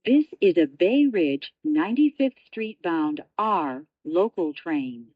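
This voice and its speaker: Female subway announcer, lowish voice with swooping intonation, clipped segmented phrasing, dry lo-fi recording. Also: expressive, slow, no echo. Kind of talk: scripted automated transit announcement. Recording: dull lo-fi transit announcement audio.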